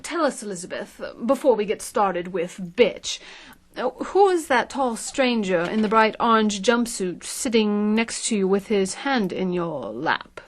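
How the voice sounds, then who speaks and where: A woman's voice: animated, a little slow. A woman playing a polished, articulate media consultant and interviewer in a satirical audio drama. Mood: formal, probing. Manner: articulate, pitching. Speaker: actor.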